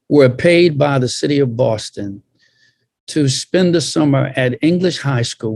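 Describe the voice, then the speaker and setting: A man in his sixties on a panel, sharing personal recollections in measured, deliberate phrases. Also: mid-range voice, darkish tone, no echo. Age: 60s.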